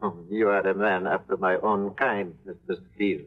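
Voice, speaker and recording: An oily foreign schemer. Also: male voice, low voice, fairly even intonation, normal speed. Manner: formal, oily courtesy. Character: smooth scheming middle-aged foreign businessman. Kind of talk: 1950s radio drama acting. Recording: thin, lo-fi 1950s radio broadcast.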